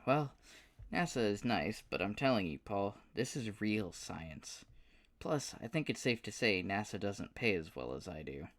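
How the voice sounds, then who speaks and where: A man's voice: fairly low pitch, very animated, measured pace. A man voicing a confident, secretive male scientist who speaks matter-of-factly and persuasively.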